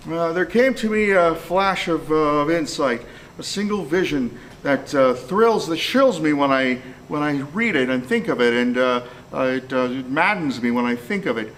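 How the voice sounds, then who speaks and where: A man's voice: a little high, expressive, normal speed, a bit bright, slight background noise. A man acting an inquisitive, dogged police detective in an amateur live radio play. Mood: grave, reading aloud.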